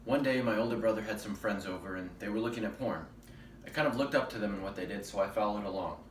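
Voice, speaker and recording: A man's voice: fairly low pitch, fast, close to an everyday voice. A man playing a guilt-ridden young man with a secret addiction, in a rehearsed stage monologue. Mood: guilty confession. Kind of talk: rehearsed readers theater monologue. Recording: roomy distant mic with background noise.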